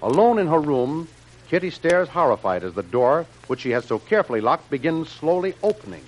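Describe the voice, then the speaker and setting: A man's voice: fairly high pitch, very animated, fairly quick, low-quality recording. A man announcing and narrating an old 1930s radio serial in a declamatory, dramatic, scripted style.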